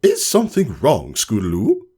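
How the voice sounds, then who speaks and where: Storybook role: strict female pony teacher, acted by a man, raised pitch, sarcastic, authoritative, no-nonsense. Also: huge pitch swings, normal speed, warm tone, husky. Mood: sarcastic, prim. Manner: matter-of-fact, commanding. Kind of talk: storybook character voice.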